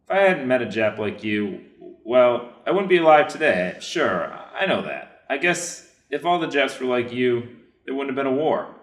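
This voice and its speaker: A man's voice: mid-range voice, sing-song, normal speed, natural voice. A man playing a grateful, plain-spoken American prisoner of war in a staged reader's theater drama, informal and earnest. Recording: roomy, echoing space.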